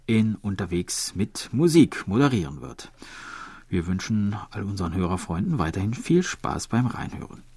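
A man's voice: lowish voice, no room sound. A man presenting a radio program from a studio, reading announcements and listener mail. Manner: lively, reads listener mail aloud.

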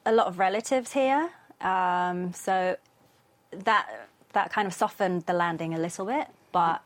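British woman, thirties, singer guest, soft-spoken, slightly low voice. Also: unhurried. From London, UK. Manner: soft-spoken, laughs easily. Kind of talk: casual radio interview chat.

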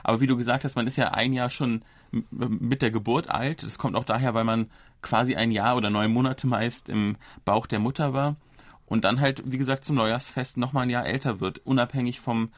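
A man's voice: medium pitch, steady tone, brisk, dry recording. A man in his thirties from Germany, hosting a casual podcast and explaining things at length with small restarts. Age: 30s. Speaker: podcast host.

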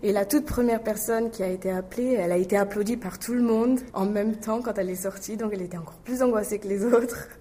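A woman speaks candidly in an interview about her own experience. Her tone is warm and dark at a middle pitch, and her talk stays plain and personal. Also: fast talker, slight background noise. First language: not Korean. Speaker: interpreting student. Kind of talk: interview answers.

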